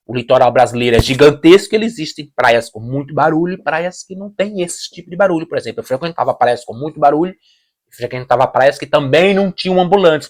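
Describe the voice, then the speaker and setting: A man from Brazil with a Brazilian Portuguese accent, hosting a reaction video and giving emphatic opinions, often repeating phrases and restarting sentences. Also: fairly high pitch. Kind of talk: casual opinion commentary.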